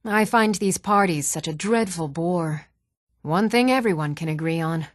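A woman playing poised, articulate townswomen and noblewomen in a fantasy video game, with measured, formal delivery. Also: fairly low pitch, no echo, clean studio sound, close to an everyday voice. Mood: polite, composed. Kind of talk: scripted fantasy game dialogue.

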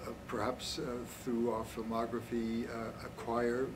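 A man in his seventies giving an informal explanation as a scholar, with halting, deliberate phrasing. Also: mid-range voice, monotone. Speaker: author and scholar. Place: small indoor meeting room. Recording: noisy room, distant mic.

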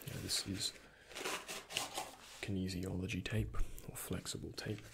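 A young man speaking in a breathy, hushed ASMR whisper, gently and unhurriedly, close to the microphone as in a doctor roleplay. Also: low-pitched, level tone, unhurried, heavy background noise. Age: young adult. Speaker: ASMR creator playing a doctor. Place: indoors, close before a dark backdrop.

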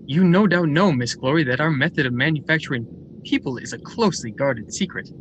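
Guy acting a brisk formal factory boss, radio play style, highish voice, bit of background noise. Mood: courteous. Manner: formal, businesslike, articulate. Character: brisk, eager factory general manager, man. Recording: noisy radio drama recording, steady rumble.

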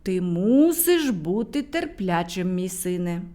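Woman reading a gentle fatherly storybook king, slow and soothing. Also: fairly low pitch, big pitch swings, voice pushed down. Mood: reassuring. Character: kindly old king, patient father.

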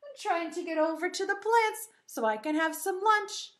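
A woman's voice: very high-pitched, normal speed, a bit bright, voice pushed up. A woman voicing an earnest middle billy goat brother in a children's storybook tale, pleading with emphasis. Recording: echoey room.

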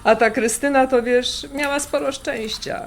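A woman's voice: sits high, fairly even intonation, unhurried. A woman playing a wistful, prickly middle-aged artist in a naturalistic radio drama, sighing and a little defensive. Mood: chatty. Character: wistful, prickly artist, sensitive elder-daughter type. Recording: background noise of a kitchen scene. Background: kitchen clatter, pots and dishes.

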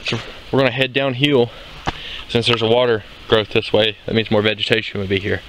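Young male outdoor host, low-ish, relaxed walking narration, outdoor camera with rustling noise. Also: brisk. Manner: relaxed, explains as he walks. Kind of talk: casual hiking narration. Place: outdoors in a forest.

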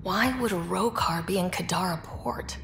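Game heroine: young woman explorer, lowish creaky voice, wry and cocky, roomy echo. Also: normal speed, fairly bright tone. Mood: businesslike. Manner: wry, terse, self-assured. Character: dry, cocky young female space explorer. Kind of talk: video game dialogue acting. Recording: roomy echo, faint background noise.

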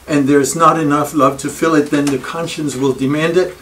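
A man's voice: on the high side, steady tone, fairly bright tone. Older Danish-accented male lecturer, wry and reflective; far-off camera audio with faint noise. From Denmark. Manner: thoughtful and wry, with pauses and self-deprecating asides. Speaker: speaker giving a library talk. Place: wood-paneled library room. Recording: distant room recording with faint noise.

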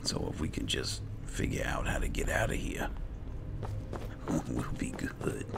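A man giving casual, low-key roleplay commentary as he plays a game, muttering in a gravelly voice. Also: sing-song, measured pace, very noisy. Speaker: gamer playing in character. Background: game music.

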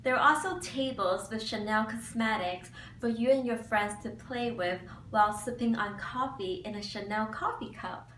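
A woman's voice: fairly high pitch, moderate pace, hardly any pauses, fairly bright tone, far from the mic. A cheerful young woman presenting a beauty vlog in upbeat scripted narration, filmed against a plain wall in a small room. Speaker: beauty vlogger. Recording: echoey room, mic set back, faint hum.

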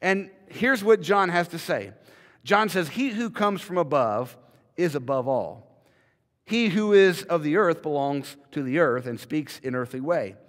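A man's voice: a little high, animated, a little slow. A man in his thirties preaching a sermon from a church pulpit, emphatic and repeating words as he builds each point. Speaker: pastor. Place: large church sanctuary, indoors. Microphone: podium mic, filmed from a far camera. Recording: long hall reverb.

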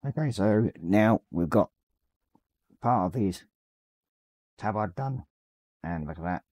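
A man in his forties with a slightly croaky voice, casually chatting on a live stream and reading out viewer messages as he paints. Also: fairly low pitch, slow, no room sound. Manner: reads chat messages aloud, relaxed and rambling. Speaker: livestream host. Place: small hobby room at home.

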